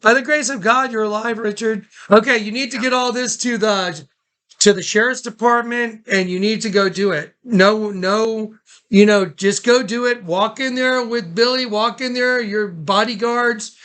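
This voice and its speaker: A man with a high, bright voice speaks on a recorded phone call. He is casual in wording but urgent and insistent, repeating himself as he directs the others. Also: normal speed, smooth.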